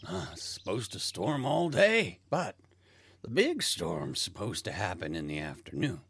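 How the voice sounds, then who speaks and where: An actor playing a weary, wry dying man in hospice care in an audio play, speaking in a halting, wistful way. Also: sits low, big pitch swings, vocal fry, fairly bright tone, slightly rough, heavily acted. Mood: calm, wistful.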